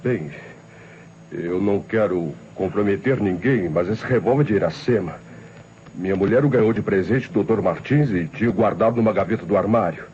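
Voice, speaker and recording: An actor playing an anguished but dignified middle-aged Brazilian father accused of murder, earnest and halting, in an old radio drama. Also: lowish voice, darkish tone, natural voice. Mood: anxious, earnest. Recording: muffled, noisy old radio recording.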